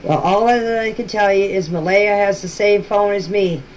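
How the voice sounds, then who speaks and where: A woman leaving informal voice messages for a group chat, explaining things in a halting, repetitive way. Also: medium pitch, fairly even intonation, darkish tone. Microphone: phone. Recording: noisy phone voice clips.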